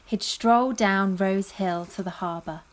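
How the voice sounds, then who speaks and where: An actress playing a fond granddaughter from a fishing family, reminiscing lyrically in a poetic audio play. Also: female voice, mid-range voice, a little slow, lightly acted.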